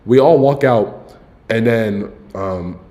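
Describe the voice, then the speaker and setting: A young man in his twenties with a fairly low voice, chatting loosely to his stream audience. He rambles through a story in slangy, relaxed talk, stopping to correct himself and dropping "bro" along the way. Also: slow pace. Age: 20s. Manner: laid-back, frequent "bro" asides. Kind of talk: casual storytelling to viewers.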